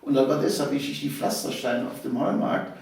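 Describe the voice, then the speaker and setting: An older man reminisces. His voice has a gravelly creak, and he tells his stories conversationally. The recording is very roomy, with the microphone some way off. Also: mid-range voice, fairly quick, a little mellow. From Cologne, Germany. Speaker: interview guest, local history author. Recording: roomy, echoing, distant microphone.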